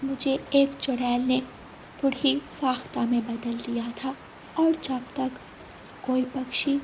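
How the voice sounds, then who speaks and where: A woman voicing a gracious, grateful fairy in a cartoon fable, gentle and solemn. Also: high voice, level tone, takes it slowly, creaky, slight background noise, heavily acted, poor audio. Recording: loud rain and thunder behind.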